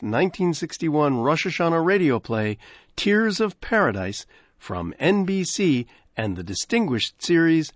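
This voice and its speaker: A man hosts an old-time radio program and talks through a personal introduction. His pitch moves a lot. The recording is dry and close. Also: dry recording. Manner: personal, explanatory. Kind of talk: casual introduction.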